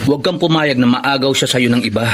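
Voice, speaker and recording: A man acting a loyal drinking buddy in a Filipino radio drama. His medium-pitched voice is earnest and urging, with a Filipino accent, against clear background noise. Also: quick pace, lightly acted. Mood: concerned, indignant. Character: loyal, indignant male friend and drinking buddy. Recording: noisy radio drama recording.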